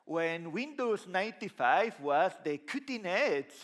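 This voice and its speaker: A middle-aged man from Spain with a Spanish accent, giving a lively conference talk on a large stage with a headset mic. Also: high voice, slow. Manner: lively, conversational, gesturing while walking the stage. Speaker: conference speaker.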